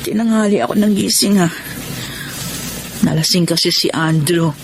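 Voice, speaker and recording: A woman's voice: lowish voice, normal speed, a little hiss or hum, close to an everyday voice. A woman playing a lovestruck, stubborn young woman in an emotional Filipino radio drama. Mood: drowsy, puzzled. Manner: emotional, melodramatic, defensive.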